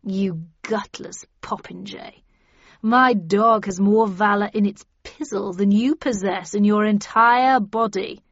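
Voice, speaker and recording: A woman's voice: medium pitch, dry recording, lo-fi sound. Menacing Gothic leading man, read by a woman narrator, grandiose, angry, contemptuous, dry storybook recording. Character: menacing, arrogant, brooding male Gothic romantic hero.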